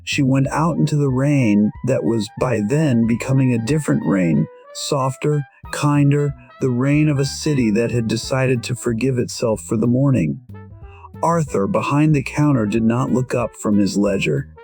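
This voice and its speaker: A man playing a gentle musician in his sixties, quietly and wistfully telling his own story as audio drama narration. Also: mid-range voice, moderate pace, faint music behind, close to an everyday voice. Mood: reflective, tender.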